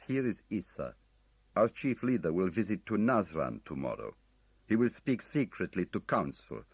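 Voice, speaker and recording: A man puts on a foreign male caller with a thick, guttural voice, raised in pitch from a very deep base. He speaks urgent, broken English in short, clipped phrases. The sound is husky and dry. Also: big pitch swings, normal speed, no echo, heavily acted.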